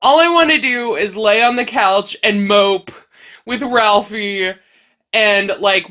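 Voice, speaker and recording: A woman with a bright, forward voice chats casually as a craft vlog host. She takes her time and stretches words playfully for emphasis. A head cold leaves her sounding a little stuffy. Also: medium pitch. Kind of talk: casual chatty talk to camera.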